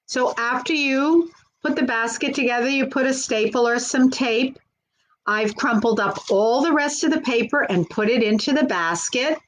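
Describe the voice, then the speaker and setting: A woman's voice: on the high side, normal speed, a little hiss or hum, low-quality recording. A woman working as a children's librarian, hosting a live storytime in a simple, encouraging way and speaking directly to young children.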